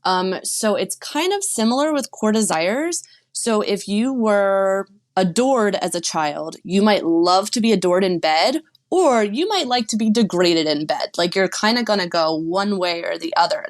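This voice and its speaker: A woman speaking as a relationship coach in a relaxed podcast conversation. She explains her ideas with easy confidence and drops in quick asides. Her voice sits at an ordinary middle pitch for a woman. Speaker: sex and relationship coach guest. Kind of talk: casual podcast chat.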